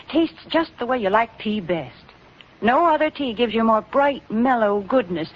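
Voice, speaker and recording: An American woman giving a chatty, polished sales pitch in 1940s radio style. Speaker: sponsor's spokeswoman. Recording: muffled vintage 1940s radio recording.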